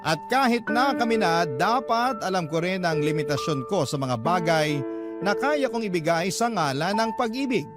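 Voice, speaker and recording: High-pitched lovelorn Filipino narrator. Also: male voice, lively intonation, moderate pace, loud music behind the voice. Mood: wistful, reflective. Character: earnest lovelorn young man recounting his courtship. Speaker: letter narrator. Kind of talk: dramatic scripted first-person narration.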